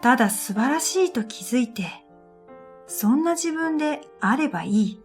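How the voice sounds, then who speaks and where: High-voiced woman narrating over music. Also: lively intonation, normal speed. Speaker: narrator and protagonist of an audio drama. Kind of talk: scripted first-person storytelling narration. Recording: background music under the voice.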